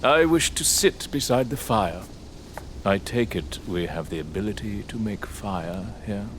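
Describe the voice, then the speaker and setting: An actor playing an arrogant, sardonic aristocratic lord in an audio drama, with a British accent and a pompous, theatrical manner. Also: male voice, lowish voice, lively intonation, measured pace, slight background noise. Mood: haughty, sardonic.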